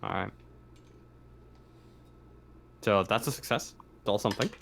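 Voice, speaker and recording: A man's voice: on the low side, fast talker. A man improvising narration as game master of a tabletop horror roleplaying game. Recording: clear background noise with clicks.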